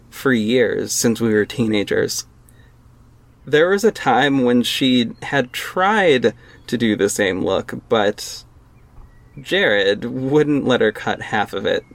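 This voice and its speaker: A man's voice: medium pitch, dry recording. A young man narrating his own audio drama in the first person, wry and casual. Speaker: narrator and lead actor. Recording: music bed underneath.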